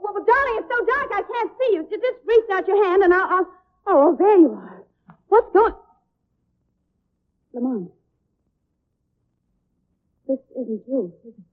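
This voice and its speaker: Frightened radio-drama lady: high, very expressive, fretful and breathless, thin vintage sound. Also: a little slow, pauses often. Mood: panicked. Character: anxious, frightened young lady, sweetheart companion to detective. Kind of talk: 1940s radio drama acting. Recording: narrow, muffled old radio recording.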